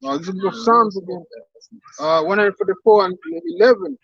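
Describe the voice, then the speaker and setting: A man reading verses aloud at an outdoor street sermon, halting and restarting, chiming in with short agreements. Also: high-pitched, a bit bright, breathy edge, lo-fi sound. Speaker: scripture reader.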